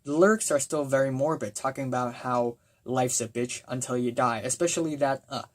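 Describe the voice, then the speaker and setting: A young man reviews an album in a loose, chatty way, his voice worn raspy from too much talking. He piles up fillers and breaks into sudden bursts of excitement. His pitch sits right in the middle. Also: fairly quick. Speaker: music reviewer. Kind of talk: casual spoken album review.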